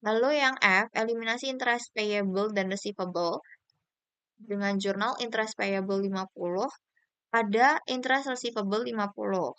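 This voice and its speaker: Young woman, student presenter in explanatory mode, clear smooth tone, dry sound. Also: mid-range voice, fairly bright tone, dry recording. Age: young adult. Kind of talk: explanatory class presentation of slides.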